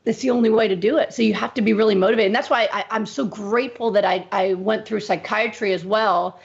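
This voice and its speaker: A woman doctor being interviewed, talking in an emphatic, animated way. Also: medium pitch, fast, creaky, highs cut off. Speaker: medical doctor, guest. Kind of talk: conversational interview.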